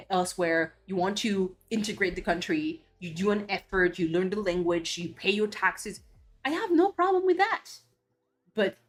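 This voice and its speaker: Woman, from Belgium, streamer, casual chat, blunt heated rant, mid pitch, soft music under. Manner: blunt, heated ranting with cursing and restarts.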